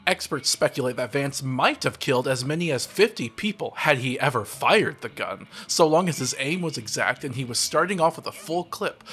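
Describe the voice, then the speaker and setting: A man reading game text aloud as a measured, deadpan narrator. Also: mid-range voice. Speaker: narrator of the playthrough.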